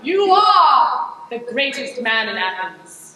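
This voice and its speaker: A woman's voice: high-pitched, big pitch swings, slow, distant mic, full character voice. A woman playing a pompous prince bearing news in improvised stage comedy, with solemn mock-archaic proclamations. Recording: noisy, reverberant hall from afar.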